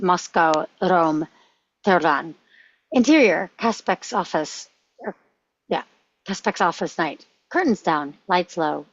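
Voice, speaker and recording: A woman's voice: on the low side, no room sound, dull audio. A woman reading stage directions as scripted narration at a screenplay table read.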